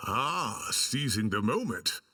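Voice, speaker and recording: A man's voice: mid-range voice, big pitch swings, takes it slowly, sharp tone, husky, distant mic, faint music behind, exaggerated voice. A man playing a genial, self-satisfied middle-aged scholar and mentor in a video game, chatty, theatrical and playfully boastful. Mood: proud, teasing. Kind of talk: animated game voice acting.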